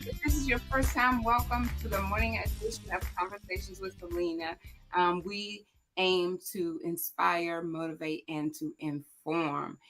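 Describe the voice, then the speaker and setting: Raspy, hoarse woman host. Low, loud and chatty, speaking straight to the viewers. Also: steady tone, measured pace, faint music behind, poor audio. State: hoarse, voice cracking. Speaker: host of a morning motivational livestream. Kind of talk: casual motivational chat to viewers.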